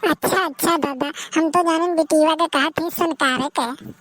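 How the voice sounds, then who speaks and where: A high-voiced, folksy village woman played by a female performer with an Awadhi accent from rural northern India. She chatters boastfully and banters through cartoon comedy dialogue. The sound is dry and close. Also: measured pace, a bit bright, no room sound. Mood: bantering.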